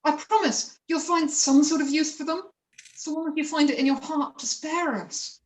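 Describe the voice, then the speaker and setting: A woman's voice: high-pitched, steady tone, normal speed, echoey room, lightly acted. A woman playing a timid, pious peasant father who pleads and grovels in an amateur myth play reading. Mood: pleading.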